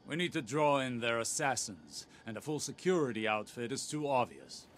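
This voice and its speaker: A man's voice: mid-range voice, big pitch swings, moderate pace, a little hiss or hum, close to an everyday voice. Man playing a stern, clipped spymaster mentor. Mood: stern, reproving. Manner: clipped, commanding, scolding. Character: stern, shrewd older mentor, controlling spymaster. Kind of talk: dramatic video game dialogue. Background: music.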